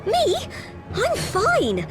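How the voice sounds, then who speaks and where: An actress playing a tiny, kindly, fretful helper in a video game, squeaky and flustered. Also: female voice, wildly swooping pitch, very slow, heavily acted. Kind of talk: video game cutscene acting. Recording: game music behind the voice.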